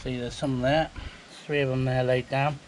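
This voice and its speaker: A slightly noisy outdoor handheld recording of a middle-aged British man with an average-pitched voice. He talks in a loose, chatty way, like a hobbyist showing things to the camera. His delivery is unpolished and rambles along casually. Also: level tone, moderate pace. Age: 50s. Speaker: hobbyist video maker. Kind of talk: casual handheld show-and-tell. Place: outdoors beside a house, breezy. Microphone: handheld camera or phone.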